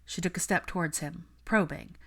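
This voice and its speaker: A female audiobook narrator with a low-pitched voice. She reads fiction prose in a scripted storytelling style. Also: moderate pace, a bit bright, husky.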